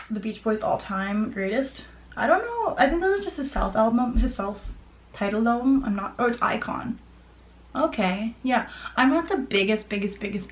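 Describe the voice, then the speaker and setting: A woman's voice: mid-range voice, poor audio. A young woman chatting casually as a vlogger, gushing and rambling in an informal way. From Ontario, Canada. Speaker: vlogger showing a personal collection. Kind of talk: casual chat to camera.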